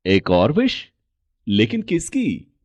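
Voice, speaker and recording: An agreeable cartoon father, played by a man, genial and easygoing in a moral story. His pitch swings very widely, his pace is slow and the sound is dry. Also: wildly swooping pitch, a little mellow, dry recording, heavily acted. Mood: surprised.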